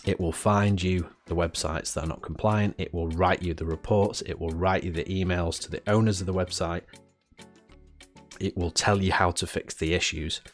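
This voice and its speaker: A man's voice: low-pitched, fairly even intonation, moderate pace, faint music behind. A man with a head cold giving a casual product review, chatty and full of "uh" fillers and restarts. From United Kingdom. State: has a head cold, sniffling.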